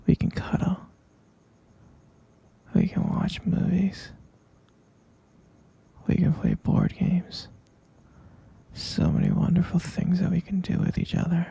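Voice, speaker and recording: A man's voice: on the high side, warm tone, exaggerated voice. A man playing an obsessive, possessive kidnapper boyfriend in an intimate ASMR roleplay, whispering huskily and coaxing with doting pet names. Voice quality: breathy, husky whisper with gravelly creak. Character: obsessive, possessive male yandere kidnapper, sweetly menacing boyfriend.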